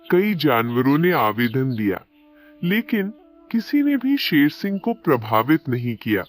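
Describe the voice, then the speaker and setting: A man narrating a scripted animated animal story as a storyteller. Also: mid-range voice, unhurried, soft background music.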